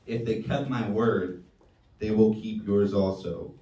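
A man leading a church service, reading scripture with measured emphasis and preaching. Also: on the low side, steady tone, dark tone. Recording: roomy sound from a distance.

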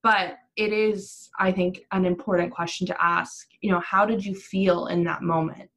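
Lower-pitched woman co-hosting. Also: fairly even intonation, normal speed, a bit bright. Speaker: podcast co-host. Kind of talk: conversational interview.

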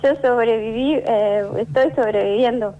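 A woman guest, French by first language, speaking thoughtfully with many pauses and restarts in an interview. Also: fairly high pitch, narrow, tinny audio. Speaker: interview guest, actress and writer. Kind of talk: conversational interview.

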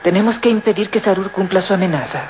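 An actress playing a concerned, dutiful young daughter in a vintage radio serial, earnest and pleading. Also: female voice, medium pitch, fast talker, slight background noise, lightly acted. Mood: anxious. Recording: muffled old radio recording.

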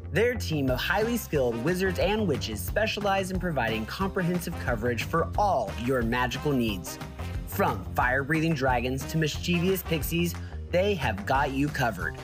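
An announcer reading a scripted commercial in a promotional style. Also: mid-range voice. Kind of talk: scripted advertisement read.

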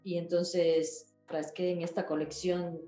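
A woman's voice: fairly low pitch, little pitch movement, slow, warm tone, roomy sound, soft background music, rough recording. A woman talks casually as an interview guest, in a chatty, rambling way, often checking back with the listener. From Ecuador.

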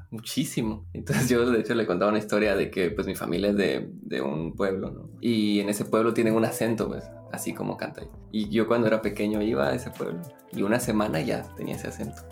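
A man's voice: on the low side, brisk, vocal fry, hardly any pauses, soft background music. A man from Guatemala co-hosting a podcast in loose, casual chat, recorded at a home, with a habit of tagging his sentences with little fillers. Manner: loose and chatty, leans on the fillers "pues" and "¿no?". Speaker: podcast co-host. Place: a home in Mexico, recording in person.

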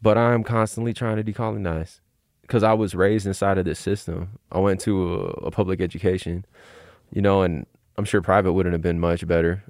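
Deep-voiced American man, reflective casual talk. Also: a little mellow, no room sound, studio quality. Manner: informal, halting, filler "like" and "you know what I mean".

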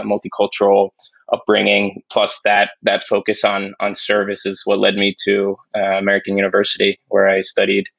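Young male podcast guest, low gravelly voice, casual and thoughtful, close and dry. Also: a bit bright, no room sound. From born in Spain, raised in New York. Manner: thoughtful, many restarts and fillers. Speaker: podcast guest, climate investor. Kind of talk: casual interview talk. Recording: dull dry lo-fi sound.

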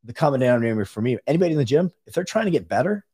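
A man's voice: mid-range voice, big pitch swings, rapid-fire, a little mellow, breathy edge. A middle-aged man, a guest on a podcast, telling stories emphatically and chiming in with "right" as he chats casually. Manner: emphatic storytelling, frequent "right". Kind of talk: casual conversation.

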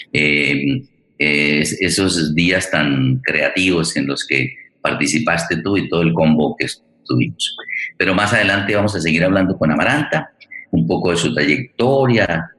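A low-voiced man hosts a live program off the cuff. He speaks haltingly, often searching for the next word, and the sound is roomy and echoing. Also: a bit bright. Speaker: program host. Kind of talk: live unscripted hosting of a radio theatre program.

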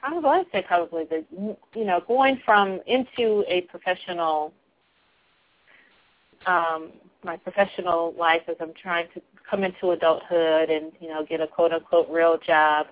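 A soft-spoken woman talking reflectively in a casual group discussion, laughing now and then. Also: lowish voice, fairly even intonation, normal speed. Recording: tinny phone-like line.

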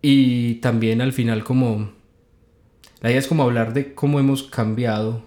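Young guy hosting, warm mellow tone, easygoing chat. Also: medium pitch, fairly even intonation, normal speed, roomy sound. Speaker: podcast host. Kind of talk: casual chat.

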